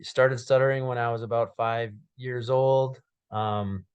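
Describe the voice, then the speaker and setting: Man in his 30s who stutters, unhurried personal story told straight into a close webcam. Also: medium pitch, steady tone, clean tone, dull audio. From Minnesota. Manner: stutters with repeated sounds and blocks, many fillers. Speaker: guest speaker. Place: small dark room indoors.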